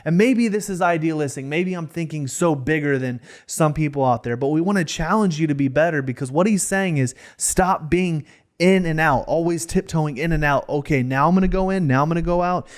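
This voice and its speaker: A man's voice: a little high, brisk. Passionate motivational man in his thirties. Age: 30s. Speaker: podcast co-host. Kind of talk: casual podcast discussion.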